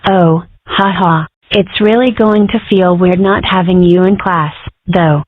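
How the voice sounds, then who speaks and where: A woman's voice: on the low side, fairly even intonation, unhurried, no room sound. A woman voicing a brash, sardonic young girl fox in a cartoon comic dub, with a dry, sarcastic delivery. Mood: teasing. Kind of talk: comic strip dub acting. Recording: tinny phone-like band-limited audio.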